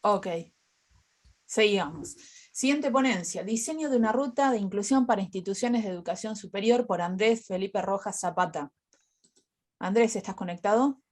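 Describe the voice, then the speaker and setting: Woman moderating, halting delivery. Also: medium pitch, darkish tone. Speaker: session coordinator and moderator. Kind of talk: introducing speakers at an online academic conference. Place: online video conference.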